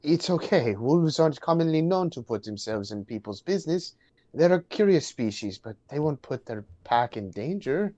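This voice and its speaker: A man playing an earnest, anxious young man who knows animals, explaining and halting in a dramatic script reading. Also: on the high side, lively intonation, warm tone, lo-fi sound, natural voice. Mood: explanatory, calm.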